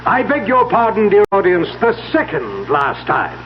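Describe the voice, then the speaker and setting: An actor playing a grandiose stage magician in an old radio drama, addressing the house with deliberate, theatrical showmanship. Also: male voice, sits high, wildly swooping pitch, exaggerated voice. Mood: grand, mysterious. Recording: muffled vintage radio recording, faint hiss.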